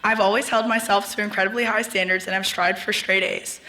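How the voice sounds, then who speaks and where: Bright-voiced young woman losing her voice. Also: medium pitch, fairly even intonation, fairly quick, low-quality recording. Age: young adult. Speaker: student speaker. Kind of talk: graduation speech. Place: large ceremony venue. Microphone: podium mic.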